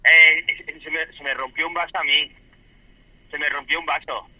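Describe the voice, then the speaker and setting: A man's voice: fairly high pitch, fairly even intonation, normal speed, dry recording, highs cut off. A man talking spontaneously on the telephone, hesitant and stammering, often repeating his words. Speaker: prank call victim, hotel guest. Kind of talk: spontaneous phone conversation.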